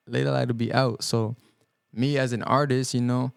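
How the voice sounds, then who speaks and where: A young man born in El Salvador and raised in the US Midwest, a guest musician giving earnest, halting answers on a podcast, full of ums and restarts. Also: mid-range voice, flat delivery, creaky, clean tone, dry recording. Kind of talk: reflective podcast interview answers. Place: podcast studio.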